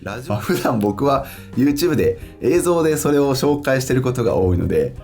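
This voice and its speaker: A man's voice: mid-range voice, expressive, brisk, vocal fry, darkish tone. A man introducing himself as the narrator of a radio-style audio guide. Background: plucked guitar music.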